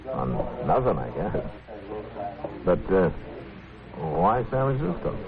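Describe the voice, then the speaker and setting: An American man playing a calm, laconic, fair-minded frontier marshal in a 1950s radio western, speaking and narrating in an unhurried way. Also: sits low, creaky, crowd noise, full character voice. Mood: easygoing. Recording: tinny old radio recording, music behind.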